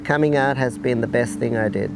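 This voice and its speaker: A middle-aged man from Sri Lanka speaks in a measured, deliberate way as he shares his own story. His voice has a mid pitch and a gravelly, creaky texture. Soft music plays faintly behind him. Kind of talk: documentary interview testimony.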